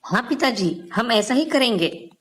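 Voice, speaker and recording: A woman's voice: a little high, expressive, unhurried, slightly rough. A woman voicing a confident, obedient young son of a farmer in an earnest storybook style. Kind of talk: dramatized children's folk tale dialogue.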